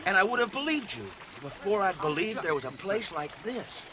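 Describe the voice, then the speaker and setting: High, swooping male explorer. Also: big pitch swings, brisk, a crowd in the background. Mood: amazed. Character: male expedition member, excitable, amazed explorer. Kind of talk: 1970s full-cast audio adventure drama acting.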